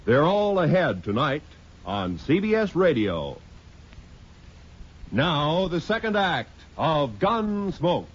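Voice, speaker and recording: A man's voice: a little high, sing-song, slow pace, noisy background. An American man announcing programs in a 1950s network radio style. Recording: muffled 1950s radio recording.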